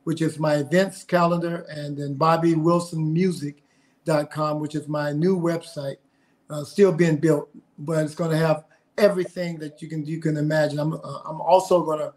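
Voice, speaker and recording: A man's voice: on the high side, flat delivery, moderate pace, a little mellow. A middle-aged man from South Carolina, speaking earnestly as an interview guest on a video call from home, often repeating words as he gathers his thoughts. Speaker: guest, singer. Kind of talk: casual interview. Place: home room indoors.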